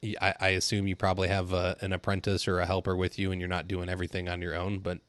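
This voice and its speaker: A man's voice: deep voice, monotone, fast, fairly bright tone, dry recording. An American man speaking as a podcast host, introducing and interviewing.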